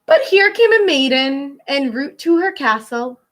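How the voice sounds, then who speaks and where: A woman with a high, lively voice reads a children's picture book like a schoolteacher. She takes her time, stretching key words and stopping to explain them. The delivery is expressive and clearly aimed at young listeners. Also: a little slow, slight background noise. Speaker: elementary school teacher reading a picture book. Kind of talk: children's read-aloud with explanatory asides.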